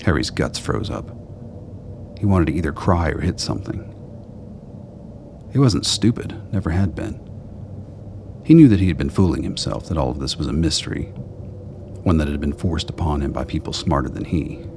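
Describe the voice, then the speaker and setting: A man's voice: a bass voice, animated, normal speed, long pauses, a little mellow. A man narrating a scripted radio drama. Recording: clear background noise.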